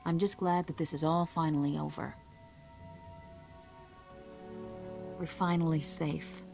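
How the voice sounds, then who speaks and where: Poised, low-voiced ambassador. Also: female voice, steady tone, fairly quick, gravelly, dark tone. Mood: relieved, gracious. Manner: formal, composed. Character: poised, gracious female ambassador in a space opera. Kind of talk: scripted video game acting. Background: music.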